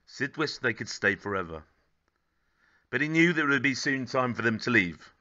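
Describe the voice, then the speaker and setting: A man in his thirties narrating a children's story expressively, with measured, gently dramatic phrasing. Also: mid-range voice, expressive, lo-fi sound. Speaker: storybook reader.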